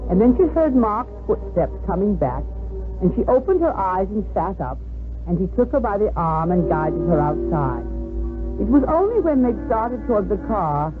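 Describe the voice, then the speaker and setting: A woman's voice: medium pitch, big pitch swings, normal speed, over music. An American woman giving scripted narration for a 1950s radio drama. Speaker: story narrator. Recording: old narrow-band radio broadcast.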